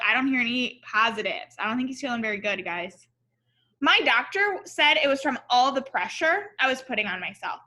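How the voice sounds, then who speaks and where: A woman with a high, very bright voice reads a children's story aloud. She takes her time and speaks expressively, in the explanatory manner of a teacher checking in with young listeners. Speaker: teacher reading a picture book aloud to children.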